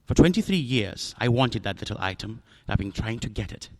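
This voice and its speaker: An actor in a radio drama playing a pompous, jovial, cunning older crime boss who talks in a courtly, florid, theatrical way. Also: male voice, fairly low pitch, animated, a little fast, a little mellow. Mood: resigned, philosophical.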